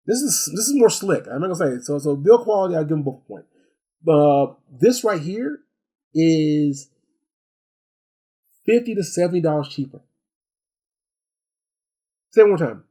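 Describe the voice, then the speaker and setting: A man with a fairly high-set voice and a soft, mellow tone talks through a gadget review off the cuff. He rambles in a folksy way, circling back and repeating phrases to drive points home. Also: expressive, normal speed, pauses often, breathy edge. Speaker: tech reviewer and host. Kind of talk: casual, unscripted product review.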